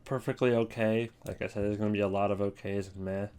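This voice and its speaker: A man's voice: on the low side, level tone, fast talker, a little mellow, clear, resonant tone. A man giving offhand, opinionated casual commentary as a video creator ranking things.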